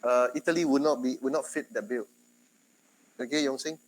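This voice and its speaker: A man from Singapore with a Singaporean English accent, giving a university lecture in a chatty, animated way. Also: medium pitch, fairly quick, rough recording. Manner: chatty, animated, quotes others in dialogue.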